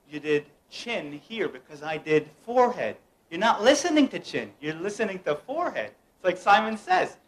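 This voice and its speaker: A man's voice: a little high, rough recording. A young man from the United States performing a playful mind-reading act, teasing and directing the people around him. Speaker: mentalist performer. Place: room at a home gathering.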